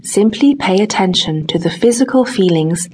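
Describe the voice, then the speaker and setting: A woman narrating a scripted guided relaxation exercise in a gentle, unhurried manner, leaving long pauses between short phrases. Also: mid-range voice, animated, husky. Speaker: audiobook narrator, meditation guide.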